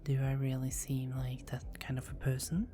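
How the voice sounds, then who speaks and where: A woman's voice: extremely low pitch, flat delivery, moderate pace, music in the background. Woman whispering as a teasing vampire girl. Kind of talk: ASMR roleplay.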